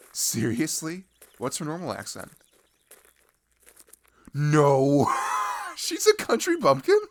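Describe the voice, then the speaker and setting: A young man playing a chatty, sarcastic teenage traveler in a casual audio roleplay, rambling in hesitant slang. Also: medium pitch. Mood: giddy disbelief. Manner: rambling, hesitant, slangy, filler-heavy, giggly. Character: chatty, sarcastic teenage boy traveler swapping road stories.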